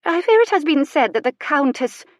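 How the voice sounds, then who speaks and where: A woman's voice: medium pitch, big pitch swings, fairly quick, no room sound, studio quality. A woman voicing a prim society matron in an audiobook romance, discreet and confiding as she defers to the vicar.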